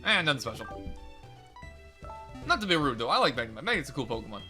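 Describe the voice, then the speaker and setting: A young man commentating a video game playthrough in a chatty, rambling way, his voice slightly raspy and crackly today. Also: mid-range voice, very animated, quick pace, pushed and bright, soft background music. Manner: rambling, chatty, self-correcting. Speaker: let's play host.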